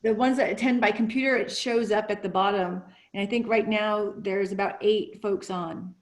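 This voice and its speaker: A woman's voice: medium pitch, fairly even intonation, normal speed. A woman hosting a webinar, chatting casually beforehand and rambling with fillers and restarts. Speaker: webinar host.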